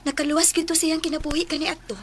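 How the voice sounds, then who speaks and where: A woman's voice: high-pitched, flat delivery, fast, background noise, close to an everyday voice. An actress with a Filipino accent playing a polite, devout and earnest woman passenger in a radio drama.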